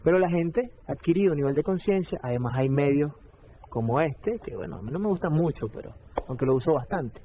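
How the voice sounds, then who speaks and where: A man's voice: a little high, animated, fairly quick, mellow, a little hiss or hum. A Venezuelan man hosting a morning TV talk show, chatty as he reads viewer tweets and questions a guest. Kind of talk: live TV talk.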